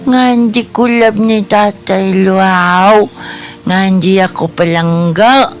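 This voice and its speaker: A man's voice: high-pitched, fairly even intonation, slow, faint music behind. A man with a Hiligaynon accent playing a sad, meek young boy in a radio drama, plaintive and hesitant. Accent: Hiligaynon (Western Visayas).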